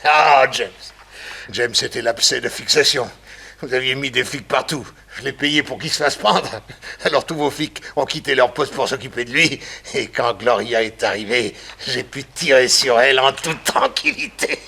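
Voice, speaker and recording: An older, unhinged killer from a vintage radio thriller. The voice is husky and gravelly with a sharp, forceful edge. He gloats cynically and theatrically over his revenge. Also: mid-range voice, moderate pace, exaggerated voice. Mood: manic triumph. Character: gloating mad older male murderer, vengeful and cynical. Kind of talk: 1960s French radio crime drama acting.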